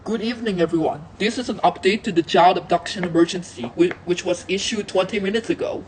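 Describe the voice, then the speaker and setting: A woman's voice: on the low side, fast talker, darkish tone, a little hiss or hum, low-quality recording. A woman announcing a made-up emergency alert in the manner of an amateur broadcast.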